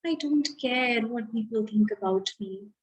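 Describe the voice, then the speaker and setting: A woman's voice: a little high, unhurried, husky, voice pushed down, low-quality recording. A woman voicing a shy male giraffe from a storybook who speaks in earnest, simple declarations. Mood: quietly confident. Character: shy male giraffe finding joy in dancing.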